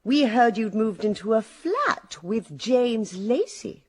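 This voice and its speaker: Gossipy kindly British village lady, highish voice, breathy edge, radio drama. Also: sing-song, takes it slowly, heavily acted. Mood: gently prying. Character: kindly, gently gossipy married village woman.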